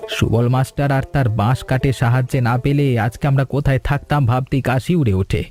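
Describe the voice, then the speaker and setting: A man voicing a kind, steady middle-aged husband and father in a cartoon story, talking in a calm, reassuring way. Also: mid-range voice, fairly quick, faint music behind, lightly acted. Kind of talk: cartoon voice acting.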